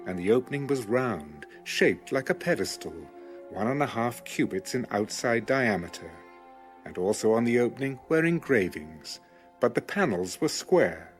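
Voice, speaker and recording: Expressive man narrating scripture over music. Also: medium pitch. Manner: measured, formal reading. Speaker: Bible audiobook narrator.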